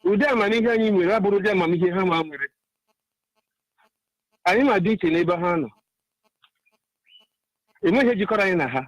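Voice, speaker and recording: A man's voice: sits high, a little fast, pauses often, dry recording, poor audio. A man with a Nigerian Igbo accent, speaking emphatically and repetitively as a caller giving an impassioned political monologue on a radio phone-in. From Ebonyi State, Nigeria.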